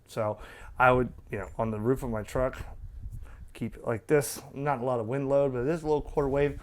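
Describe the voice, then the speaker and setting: A man's voice: medium pitch, fairly even intonation, a little fast. A man giving an unscripted product demo as a radio hobbyist, rambling in a folksy way with bits of trucker slang. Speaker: radio hobbyist reviewing gear for viewers.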